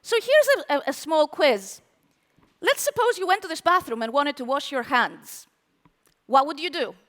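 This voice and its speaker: A woman with a very high, lively voice speaks from a conference stage, her pitch bouncing up and down as she teases the crowd and quizzes them. Her words ring out with a long hall reverb behind them. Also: normal speed. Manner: animated, playful, asks the audience questions. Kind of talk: live tech conference talk. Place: conference hall with live audience.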